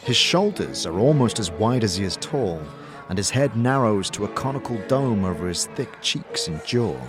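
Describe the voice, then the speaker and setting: Low-pitched male fantasy storyteller, animated. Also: moderate pace, music in the background. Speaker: narrator and player character. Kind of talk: second-person fantasy audio drama narration.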